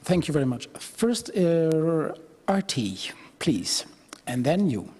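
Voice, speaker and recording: A man's voice: a little high, very animated, warm tone, breathy edge. A man moderating a conference session, briefly and politely handing over the floor. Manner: brief, slow, polite turn-giving.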